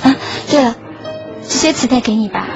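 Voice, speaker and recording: A woman's voice: on the high side, normal speed. A woman playing a lovelorn schoolgirl in a radio drama, narrating her heartbreak in a wistful, earnest way. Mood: tender, bittersweet. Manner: wistful, earnest, softly self-effacing. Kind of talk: Chinese radio drama acting with inner monologue. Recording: dull lo-fi audio under clear music.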